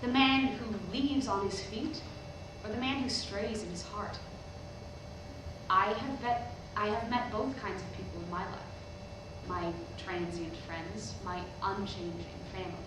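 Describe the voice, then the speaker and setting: A woman's voice: mid-range voice, steady tone, natural voice. A woman playing an eighteen-year-old preacher's daughter, nervous but defiant, giving a hesitant sermon in a staged reading. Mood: earnest, resolute. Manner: halting, hesitant public address with rhetorical questions. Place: indoor hall, recorded from the audience. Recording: very echoey hall, distant microphone, noisy.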